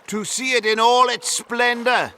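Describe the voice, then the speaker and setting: A man's voice: very high-pitched, fairly even intonation, measured pace, pushed and bright, full character voice, low-quality recording. A man voicing an indignant, coarse river-port townsman in a video game, blunt and scolding.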